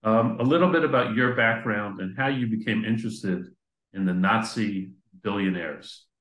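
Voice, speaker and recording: Older male interview host, low pitch, formal intro style, roomy echo on a computer mic. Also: normal speed, fairly bright tone. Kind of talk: hosting a video-call interview. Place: office indoors. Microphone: computer mic on video call. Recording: echoey room over video call.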